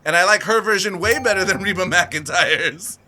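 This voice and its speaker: Very bright-voiced teasing man. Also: high voice, normal speed. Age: 40s. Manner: teasing, joking banter. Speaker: podcast co-host. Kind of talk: casual comedic chat.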